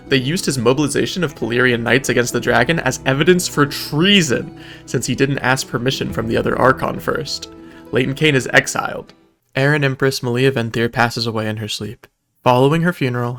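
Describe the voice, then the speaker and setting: A man's voice: mid-range voice, fairly quick, creaky, a bit bright, soft background music. A man narrating a scripted comedic recap in a wry, informal, mock-epic storytelling way. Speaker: video narrator.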